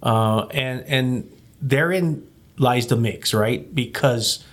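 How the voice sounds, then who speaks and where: Middle-aged man from Hawaii, guest in a studio chat, easygoing, halting and full of fillers, mid-pitched. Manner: conversational, many fillers and restarts. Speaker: managing director of a community group, guest. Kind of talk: casual studio interview.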